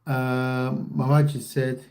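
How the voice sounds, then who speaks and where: A man's voice: mid-range voice, completely flat delivery, slow pace, a little mellow. A man from Cameroon, a football coach chatting on a livestream and reading out viewer comments. He repeats his phrases and talks straight to his viewers.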